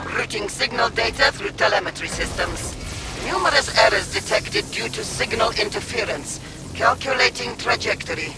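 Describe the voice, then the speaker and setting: A man's voice: high-pitched, expressive, moderate pace, poor audio. A man voicing a precise ship systems officer in a game, speaking in clipped, procedural reports. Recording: game audio over loud music.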